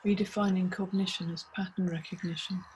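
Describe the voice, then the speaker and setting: Even-toned woman leading a guided meditation. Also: on the low side, a little hiss or hum, low-quality recording. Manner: measured, short deliberate phrases with pauses. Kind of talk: scripted guided meditation narration.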